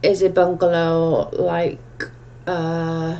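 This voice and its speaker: A woman's voice: fairly low pitch, completely flat delivery, drawn-out words, dark tone, lo-fi sound. A woman with a strong Welsh accent hosting a casual livestream chat, reading viewer comments aloud and answering them in a chatty, rambling way. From Wales. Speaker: livestream host.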